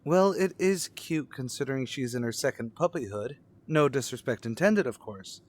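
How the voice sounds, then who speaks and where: A man playing an eager teenage high school student in an old-time radio sitcom, florid, earnest and cheeky. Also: lively intonation, normal speed, close to an everyday voice.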